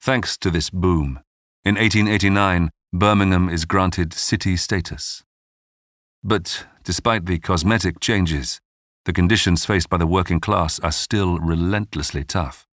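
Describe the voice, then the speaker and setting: A man hosting and narrating a scripted history documentary in a measured, dramatic storytelling manner. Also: low voice, fairly bright tone, no echo, clean studio sound. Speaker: documentary podcast narrator and host. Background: background music.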